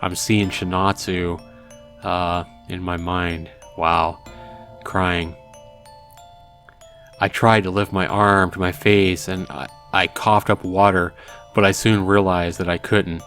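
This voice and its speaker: A man hosting a let's play, reading a visual novel aloud in a dramatic way and adding hushed asides. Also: sits low, flat delivery, slow. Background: game music.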